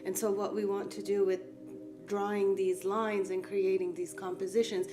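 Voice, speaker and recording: Calm woman teaching over soft music. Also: mid-range voice, moderate pace. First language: Farsi. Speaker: art therapy instructor. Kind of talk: guided art lesson talk.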